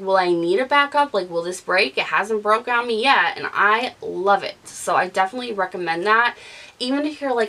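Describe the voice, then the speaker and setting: Gushing, chatty woman reviewing beauty products. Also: medium pitch. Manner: chatty, gushing, animated with hand gestures. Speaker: beauty vlogger. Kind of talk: casual product review talk. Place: living room, gray couch, indoors. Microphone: studio mic off camera.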